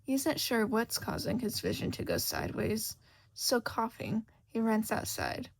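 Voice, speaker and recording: A woman's voice: on the high side, huge pitch swings, unhurried, gravelly. A woman reading a scripted fiction story aloud as its narrator. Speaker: podfic narrator.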